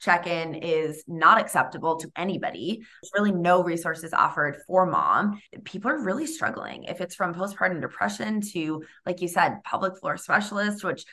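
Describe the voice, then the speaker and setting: A woman's voice: lowish voice, expressive, normal speed, few pauses, sharp tone. A woman hosting a podcast interview, upbeat and enthusiastic as she introduces and chats with her guest. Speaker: podcast host.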